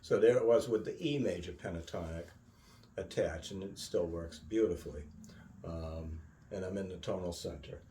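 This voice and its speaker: Man teaching guitar in a roomy space. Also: deep voice. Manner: musing, halting, often checks with "right?". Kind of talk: informal guitar tutorial, thinking aloud. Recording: roomy, distant mic, loud guitar. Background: acoustic or electric guitar playing.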